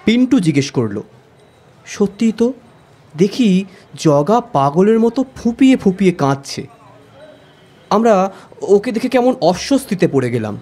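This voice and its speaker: A man's voice: high voice, sing-song, a little slow, pauses often, warm tone, exaggerated voice. A man narrating an audio story expressively in the first person, as the detective's friend. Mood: storytelling.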